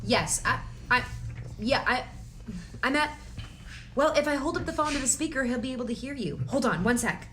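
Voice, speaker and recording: Devout, hopeful young woman, acted in a table read, fairly high, very animated, insistent. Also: moderate pace, pauses often, slight background noise. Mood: flustered, hopeful. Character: earnest, persistent, devout small-town young woman. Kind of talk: script table read of a comedy.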